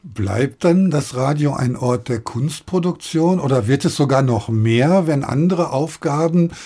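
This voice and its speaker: A man's voice: mid-range voice, animated, measured pace, mellow, slightly rough. A man putting questions to a guest as a radio interviewer.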